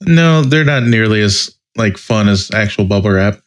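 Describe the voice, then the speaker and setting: A man's voice: lowish voice, lively intonation, moderate pace. A man co-hosting a podcast, chatting informally with a mildly complaining way of talking. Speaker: podcast co-host. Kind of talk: casual chat.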